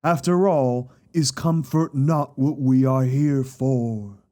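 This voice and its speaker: A man's voice: medium pitch, slow pace, mellow, close to an everyday voice. A man playing a zealous pony preacher in an audio drama, delivering a solemn, formal, oratorical sermon to his congregation. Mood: grave, consoling.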